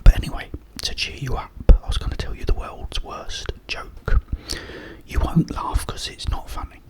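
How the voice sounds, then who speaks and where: A man with a Cockney accent who whispers softly the whole time, chatting in a rambling way as an ASMR host and telling a joke. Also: rough recording. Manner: soft unvoiced whisper throughout, rambling and chatty.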